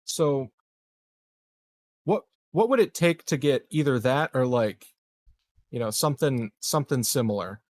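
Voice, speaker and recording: A man's voice: medium pitch, animated, a little slow, long pauses, no echo. A man chatting casually as a player in a tabletop roleplaying game, negotiating with the game master.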